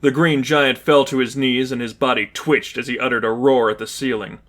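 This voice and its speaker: A man's voice: mid-range voice, fairly even intonation, normal speed, fairly bright tone. A man reading scripted fiction aloud as an audiobook narrator.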